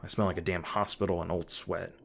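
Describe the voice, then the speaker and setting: A man voicing an awkward young monster hunter in an audio roleplay, low and hesitant, stumbling over his words as he looks out for the listener. There is a faint hiss under the voice. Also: flat delivery, fast talker, vocal fry, a bit bright. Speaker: roleplay voice actor.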